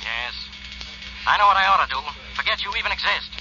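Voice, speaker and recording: A man's voice: sits high, lively intonation, a little fast, noisy background. An American man playing a grouchy, sarcastic, easily exasperated police inspector in a 1940s radio drama. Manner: gruff, sarcastic, blustering. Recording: muffled old radio recording.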